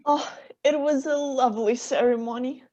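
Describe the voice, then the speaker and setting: Woman acting tearful female mourner, high voice, sentimental, radio drama, muffled recording. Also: steady tone, normal speed, warm tone, lightly acted. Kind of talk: stage radio-play acting.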